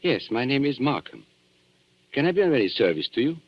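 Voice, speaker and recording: An actor playing an urbane, cynical antique dealer in a 1940s radio drama, polished and theatrical in manner. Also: male voice, medium pitch, very animated, brisk. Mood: courteous, reserved. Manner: polished, theatrical, courteous and sardonic. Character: urbane, cynical male London antique dealer, worldly storyteller. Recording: muffled vintage 1940s radio broadcast, music.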